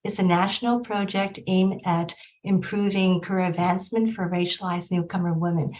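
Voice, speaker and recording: Middle-aged woman from Hong Kong, measured researcher on a video call panel. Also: lowish voice, steady tone, darkish tone, poor audio. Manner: measured, with frequent ums. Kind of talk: panel discussion. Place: home or office in Vancouver.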